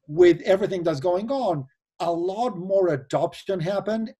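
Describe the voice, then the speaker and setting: A man's voice: sits high, mellow. A man in his forties from Argentina with an Argentine accent, explaining earnestly as an investor guest over a video call. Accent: Argentine Spanish. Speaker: venture capital investor guest. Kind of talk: remote video interview.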